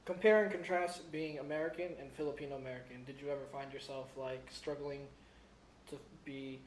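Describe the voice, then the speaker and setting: A man's voice: medium pitch, steady tone, brisk, echoey room, rough recording. A man asking interview questions casually, prompting the answers.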